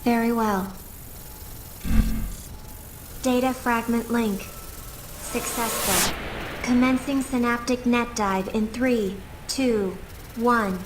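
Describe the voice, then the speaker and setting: An actress playing a calm, formal woman operating a futuristic system, precise and detached, in a game cutscene. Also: fairly high pitch, takes it slowly. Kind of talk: scripted game cutscene acting. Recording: clearly noisy background.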